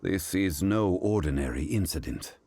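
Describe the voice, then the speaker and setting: An actor playing a composed, learned, solemn gentleman in an anime game, speaking in a measured, formal way. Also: deep voice, animated, slight background noise. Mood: grave, measured. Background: music.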